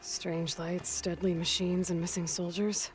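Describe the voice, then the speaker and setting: Actress as capable young game champion, matter-of-fact, lowish female voice, faint music behind. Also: little pitch movement, measured pace, lightly acted. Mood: curious, matter-of-fact. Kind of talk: video game cutscene acting.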